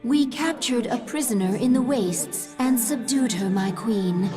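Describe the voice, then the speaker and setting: An actress playing a calm, dutiful female alien advisor giving briefings. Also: fairly high pitch, lightly acted. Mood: measured. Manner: measured briefing delivery. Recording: game cinematic with music.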